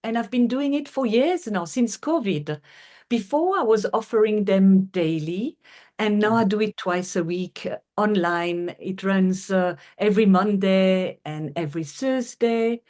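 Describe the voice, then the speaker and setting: A middle-aged woman from France, speaking English with a French accent as an interview guest, earnest and unhurried with frequent fillers. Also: mid-range voice, darkish tone. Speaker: guest, healer. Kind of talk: conversational interview.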